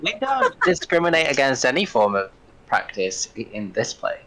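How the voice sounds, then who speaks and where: A man's voice: medium pitch, wildly swooping pitch, normal speed, vocal fry, a bit bright, low-quality recording. A man chiming in with casual, joking banter as a member of a livestream group.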